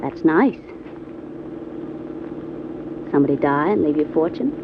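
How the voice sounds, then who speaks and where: Coy young dame in old radio mystery, lowish expressive voice, muffled noisy recording. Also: female voice, quick pace. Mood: coy, teasing. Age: young adult.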